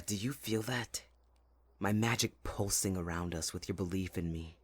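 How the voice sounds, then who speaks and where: A man plays a playful, possessive young winter spirit in an intimate audio roleplay. The voice is husky and on the low side, recorded close and dry. He speaks straight to the listener in a teasing, theatrical way. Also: dry recording. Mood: tender, longing.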